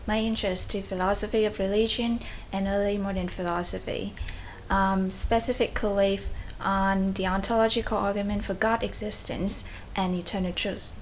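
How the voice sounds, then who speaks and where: A woman's voice: mid-range voice, steady tone, normal speed, slight background noise, low-quality recording. A young woman from Vietnam, a master's student, speaking carefully with some hesitation.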